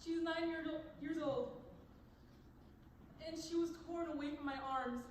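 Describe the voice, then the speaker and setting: A woman's voice: high-pitched, a little slow. A young woman playing a protective, grieving caretaker of children in an amateur stage play, earnest and emphatic, recorded from a distance. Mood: grieving, resolute. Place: stage in a large room. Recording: echoing distant stage recording, faint noise.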